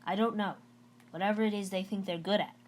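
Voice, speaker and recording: A woman's voice: mid-range voice, fairly even intonation, fast talker, close to an everyday voice. A woman voicing a confident, bragging schoolboy in a storybook read-aloud, casual and cocky. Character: confident, bragging schoolboy, joking best friend.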